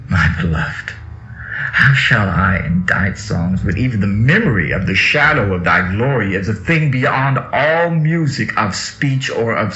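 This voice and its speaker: A man with a deep, gravelly voice gives a solemn, theatrical recitation of scripture, drawing out phrases and swelling with emphasis. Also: bright, forceful tone. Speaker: reader. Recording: dull lo-fi audio with music underneath. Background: music.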